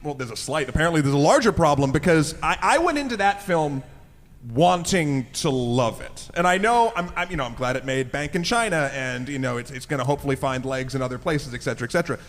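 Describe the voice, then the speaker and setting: A man's voice: a little high, lively intonation, fast, a bit bright. A man bantering and discussing casually as a panelist in front of a live audience. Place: large indoor convention panel room. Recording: reverberant convention hall.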